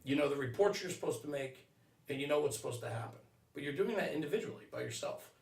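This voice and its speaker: A man speaking in a halting, earnest way in a conversational podcast discussion, repeating himself as he goes. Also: fairly high pitch, expressive, gravelly. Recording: roomy echo, distant microphone.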